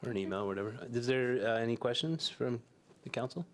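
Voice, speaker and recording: A man's voice: medium pitch, lively intonation, fast. A man chairing a public council meeting, moving the agenda along with light jokes.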